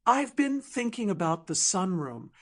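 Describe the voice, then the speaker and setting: A woman voicing an earnest, tender man, a gruff contractor in love, in an audiobook drama. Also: mid-range voice, very animated, a little slow. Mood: modest, gentle.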